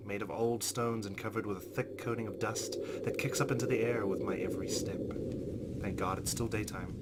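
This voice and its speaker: A man's voice: lowish voice, noisy background. A man hosting a let's play, reading a visual novel aloud with dramatic, jittery asides.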